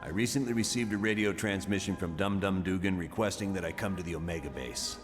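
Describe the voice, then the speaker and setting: An actor playing a stern, curt colonel who gives mission briefings in a video game cutscene. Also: male voice, sits low, fast talker, music in the background, lightly acted. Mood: stern, businesslike. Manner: curt, authoritative. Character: stern, terse male colonel running a covert agency. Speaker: military intelligence commander giving briefings.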